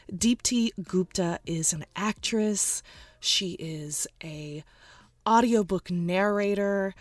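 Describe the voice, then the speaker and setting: A woman in her thirties hosting a podcast, talking casually and brightly with fillers. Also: on the low side. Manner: bubbly, chatty, with laughs and fillers. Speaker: podcast host. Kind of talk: casual interview chat.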